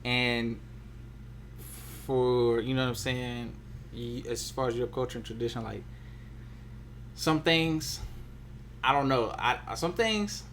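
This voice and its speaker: A man's voice: mid-range voice, slow, pauses often, a bit bright, a little hiss or hum. A man talking casually and colloquially, often checking that the listener follows him. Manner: colloquial, peppered with "you know what I'm saying". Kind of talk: casual relationship chat.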